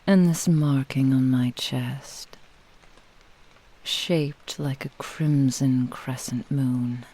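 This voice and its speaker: A woman voicing a vain, possessive kitsune witch in an intimate ASMR roleplay, speaking in a breathy, lingering whisper. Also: a bass voice, steady tone, drawn-out words, warm tone, full character voice, rough recording. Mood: proud, vain. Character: vain possessive seductive female nine-tailed kitsune witch. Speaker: roleplay audio voice actor.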